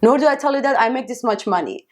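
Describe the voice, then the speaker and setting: A woman's voice: fairly high pitch, lively intonation, quick pace, a little mellow. A woman co-hosting a casual podcast, chatty and full of "mm-hmm"s while her guest talks. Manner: chatty, with frequent "mm-hmm" backchannels. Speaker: podcast co-host.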